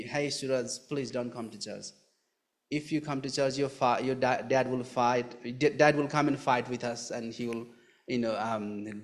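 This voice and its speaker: Man, Nepali accent, medium pitch, live interview guest, personal storytelling, earnest, halting with repeated phrases. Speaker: guest giving his testimony.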